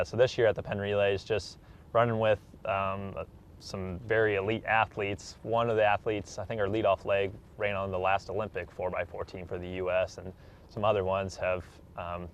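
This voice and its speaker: Young American man, lowish pitch, college athlete in a casual interview, earnest and unpolished. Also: slight background noise. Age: young adult.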